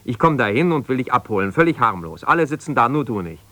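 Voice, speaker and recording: An actor playing an aggrieved, self-justifying middle-aged husband in a naturalistic radio drama, halting and reproachful as he argues. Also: medium pitch, big pitch swings, sharp tone, no echo. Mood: reproachful, wounded. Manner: halting, argumentative, reproachful, breaking off mid-sentence. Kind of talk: naturalistic 1970s German radio drama, one-sided conversation.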